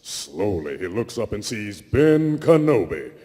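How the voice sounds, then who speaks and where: A man's voice: on the low side, very animated, unhurried, warm tone. A man giving dramatic scripted narration at a live reading. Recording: reverberant convention hall with background noise.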